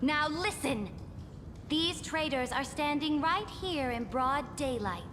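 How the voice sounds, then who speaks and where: A woman's voice: high-pitched, lively intonation, slow pace, long reverb tail, background noise. An actress playing a proud, imperious female emperor in a video game, speaking in grand, commanding formal oratory. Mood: stern accusation. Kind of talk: dramatic video game voice acting. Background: music.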